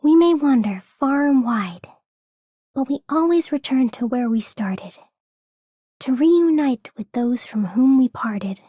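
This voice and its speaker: Serene game traveller girl, breathy and high, sincere, no rush. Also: lively intonation, slow, mellow, no echo, studio quality, full character voice. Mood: wistful, warm. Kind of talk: video game character voice lines.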